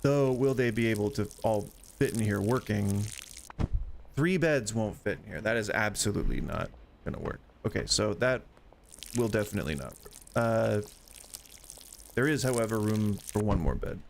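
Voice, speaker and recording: A man's voice: on the low side, lively intonation, moderate pace, vocal fry, pauses often, slight background noise. A man in his forties chatting casually and rambling while playing a game, joking about getting old. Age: 40s. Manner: rambling, self-deprecating, chatty. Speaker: gaming streamer. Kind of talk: casual gameplay chat.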